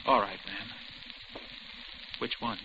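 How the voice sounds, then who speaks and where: Blank, guarded younger diner man, low voice, terse deadpan replies, noisy old radio drama recording. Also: slow pace, muffled recording, full character voice. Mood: guarded, curt. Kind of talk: 1940s radio drama acting.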